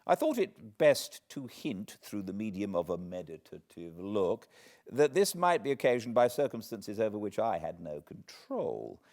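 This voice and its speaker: A British man reading a classic novel aloud as an audiobook narrator, with expressive, deliberate phrasing. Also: lowish voice. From Britain. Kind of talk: dramatic literary storytelling, read aloud.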